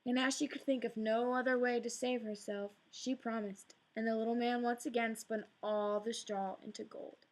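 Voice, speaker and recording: A woman reads a fairy tale aloud as a storyteller, shaping each line with gentle drama. Her voice sits a touch higher than most women's. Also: little pitch movement, moderate pace, few pauses, low-quality recording. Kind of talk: read-aloud storybook narration.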